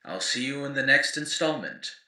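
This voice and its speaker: An actor playing a grave, eloquent chronicler of a ruined world, narrating lore in formal, theatrical oratory for an audio drama. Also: male voice, medium pitch, animated, moderate pace, sharp tone, reverberant, far from the mic, low-quality recording. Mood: solemn warning. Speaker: narrator and host. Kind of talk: scripted in-character lore narration for an audio series.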